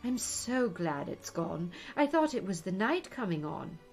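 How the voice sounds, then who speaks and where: A woman's voice: mid-range voice, big pitch swings, a little slow, creaky, darkish tone, faint music behind. A woman voicing an earnest, puzzled, polite young girl in a storybook, with a questioning manner. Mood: wistful. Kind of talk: storybook character voice.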